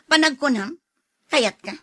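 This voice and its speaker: A woman's voice: high-pitched, expressive, unhurried, dry recording. A woman playing a picky, irritable single schoolteacher in a Philippine radio drama, curt and scornful. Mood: haughty, dismissive.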